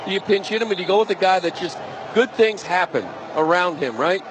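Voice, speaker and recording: Higher-voiced male baseball analyst, noisy ballpark. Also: brisk, a crowd in the background. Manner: colloquial, poses questions, talks directly to listener. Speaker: baseball color commentator. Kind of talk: conversational live sports analysis. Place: ballpark broadcast booth. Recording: noisy live stadium broadcast. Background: clear stadium ambience.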